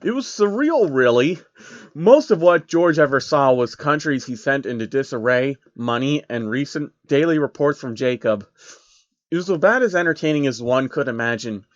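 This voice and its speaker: A man's voice: medium pitch, moderate pace, no echo, dull audio. A man reading fan fiction as narrator, theatrical, with amused asides. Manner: animated, theatrical.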